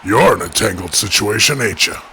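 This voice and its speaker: Man playing a deep, forceful giant lizard brawler. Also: lively intonation, a little hiss or hum. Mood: combative. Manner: menacing, deliberate, righteous. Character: proud, threatening giant male lizard superhero wrestler. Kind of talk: animated fight show acting.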